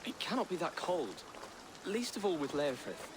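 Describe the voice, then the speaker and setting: A man's voice: fairly high pitch, very animated, normal speed, background noise. An actor playing an earnest, troubled young nobleman in a game cutscene, with a pleading delivery. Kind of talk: dramatic game cutscene acting.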